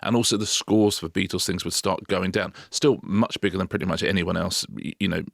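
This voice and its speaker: A man's voice: sits low, fairly even intonation, brisk, vocal fry, dry recording, clean studio sound. A man co-hosting a podcast, chatting casually with wry asides and stammered restarts. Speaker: podcast co-host. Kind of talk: casual chat.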